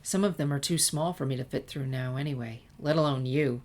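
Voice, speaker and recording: A woman's voice: extremely low pitch, a little fast, darkish tone. A woman voicing a guarded but kind teenage girl with a casual, wry, understated manner in a story reading. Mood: reassuring.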